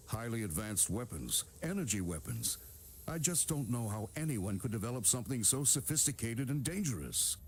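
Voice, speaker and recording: An actor playing a worried male scientist in a video game, speaking gravely and anxiously. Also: medium pitch, very animated, moderate pace, a little hiss or hum. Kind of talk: video game cutscene acting.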